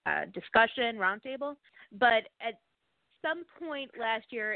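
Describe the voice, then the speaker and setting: A woman's voice: mid-range voice, dry recording. A woman podcaster being interviewed, explaining things in a casual, conversational way. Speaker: podcast host, interview guest. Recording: dry, narrow phone-line audio.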